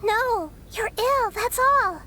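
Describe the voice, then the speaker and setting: High-voiced, gentle young girl deity. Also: slow pace, over loud music, full character voice. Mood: earnest, pleading. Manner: calm, earnest, thoughtful. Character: gentle childlike girl god, wise and earnest. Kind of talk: video game voice acting.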